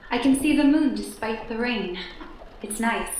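A woman's voice: on the high side, lively intonation, unhurried, darkish tone. A woman playing an anxious, secretive young wife and mother in a radio play, speaking in a brooding, hesitant, earnest way. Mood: longing, fragile. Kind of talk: radio play acting, intimate monologue and dialogue. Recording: very echoey room with background noise.

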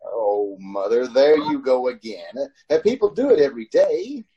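A man's voice: mid-range voice, sing-song, normal speed, mellow, muffled recording, close to an everyday voice. A man playing an anxious driver in his thirties in an old-time radio drama, with dramatic delivery. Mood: cheerful, reassuring. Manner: urgent, dramatic first-person narration. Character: haunted, anxious thirty-six-year-old male driver telling his story.

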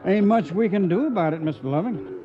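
Brief: cautious, commanding trail boss, slightly high voice, radio western, muffled recording. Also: male voice, lively intonation, a little fast, over music. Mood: firm, worried. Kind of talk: western radio drama acting.

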